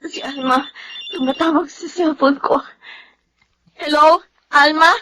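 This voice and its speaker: An actress playing a capricious, unfaithful wife in a Filipino radio drama, with a Filipino accent and theatrical emotion. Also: female voice, sits high, fairly even intonation, slow, sharp tone, dry recording, exaggerated voice, low-quality recording. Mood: shocked, concerned. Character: capricious unfaithful wife, defiant then pleading.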